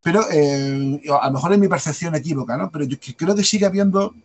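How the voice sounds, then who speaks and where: A man with a light Andalusian accent, a guest talking at length in a rapid, discursive way and tagging on questions. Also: on the high side, slightly rough. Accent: light Andalusian Spanish. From Andalusia, Spain. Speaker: guest, publisher and author. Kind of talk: conversational interview answers.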